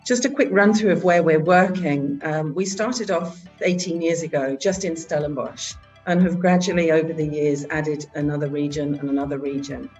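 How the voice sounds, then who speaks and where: A woman who heads a charity, presenting at a virtual annual meeting in a halting way, with frequent filler sounds. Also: deep voice, darkish tone, lo-fi sound, soft background music. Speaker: head of a charity organisation.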